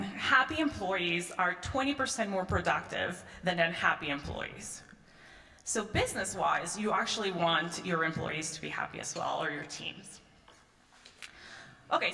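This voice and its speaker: A woman's voice: on the low side, bright, forceful tone. A middle-aged woman from Peru giving a conference talk on stage, conversational, with frequent "um" and "right?" tags. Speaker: conference speaker. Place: large conference hall. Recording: echoey hall, long reverb.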